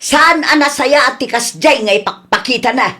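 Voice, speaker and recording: A woman playing a nagging, sharp-tongued middle-aged aunt in a scolding, argumentative radio comedy drama. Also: high voice, moderate pace. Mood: indignant, complaining.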